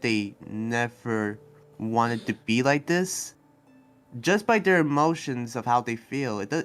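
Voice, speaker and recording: Man playing a gentle, hesitant young demon slayer. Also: mid-range voice, close to an everyday voice. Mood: pensive. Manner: hesitant, earnest, halting with restarts. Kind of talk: improvised roleplay dialogue.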